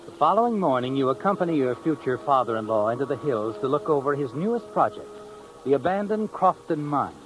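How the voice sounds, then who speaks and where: An actor playing the ominous, all-knowing narrator of a 1950s radio crime tale, dramatic and insinuating. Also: male voice, mid-range voice, big pitch swings, fairly quick, noisy background, natural voice. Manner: insinuating, dramatic, with leading rhetorical questions. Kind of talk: 1950s radio suspense narration in second person. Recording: old radio recording with music underneath.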